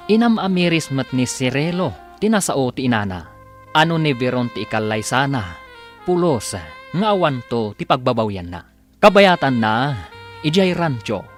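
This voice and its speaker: A man with an Ilocano accent narrating and announcing a radio drama serial in a dramatic scripted style. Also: medium pitch. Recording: music behind the voice.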